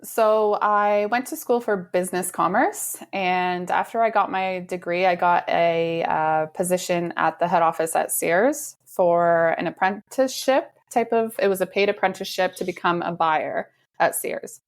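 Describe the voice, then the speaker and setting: A woman in her thirties being interviewed on a podcast. Her voice sits a bit low and she talks in an easy, relaxed way, laughing lightly and filling pauses with ums. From United States. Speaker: realtor guest. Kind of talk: casual interview chat.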